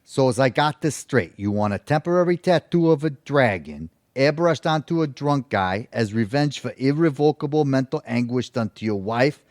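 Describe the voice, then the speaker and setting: A man playing a shady, no-nonsense tattoo artist in an audio comedy, deadpan and businesslike. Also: medium pitch, moderate pace, hardly any pauses, dry recording, clean studio sound, natural voice.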